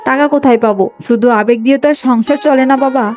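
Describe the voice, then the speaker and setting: A woman's voice: high-pitched, fast, darkish tone, soft background music, natural voice. A woman voicing a poor, dutiful young village daughter in a cartoon story, plaintive, earnest and emotional. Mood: firm, earnest. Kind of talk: Bengali cartoon moral-story voice acting.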